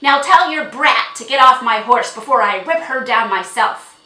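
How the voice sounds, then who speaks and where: A woman voicing a hot-tempered, bullying old man in an audiobook, snarling and shouting in rough, old-fashioned rustic speech. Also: a little high, animated, normal speed, roomy sound, far from the mic, pitched above the performer's own voice, poor audio. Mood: furious, threatening. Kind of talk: audiobook character acting.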